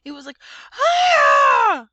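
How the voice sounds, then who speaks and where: A woman voicing a whining, argumentative second-grade boy in a dramatic, excitable manner for a storybook. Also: sits high, slow, no room sound, dull audio, heavily acted. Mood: whiny, defensive.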